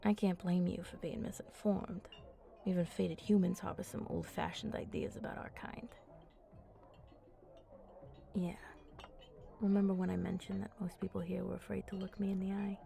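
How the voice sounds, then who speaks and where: A woman playing a flirty, confident vampire in an intimate ASMR roleplay, talking softly and playfully up close and often dropping into breathy murmurs. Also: lowish voice, fairly even intonation, normal speed, pauses often, slight background noise. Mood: confiding, flirty. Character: flirty, teasing, confident female vampire at a bar. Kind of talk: ASMR roleplay, intimate one-sided chat.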